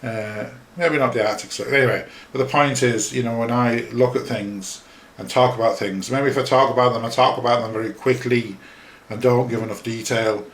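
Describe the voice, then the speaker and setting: A man's voice: mid-range voice, steady tone, a little fast, a bit bright. A middle-aged Englishman from Yorkshire with a Yorkshire accent touched by Liverpool, hosting a podcast in a casual, rambling, self-deprecating way with lots of fillers. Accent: Yorkshire English with a slight Liverpool tinge. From Yorkshire, England. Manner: chatty, self-deprecating, many fillers and restarts. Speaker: podcast host. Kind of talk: casual rambling talk over a screen-shared map. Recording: echoey room.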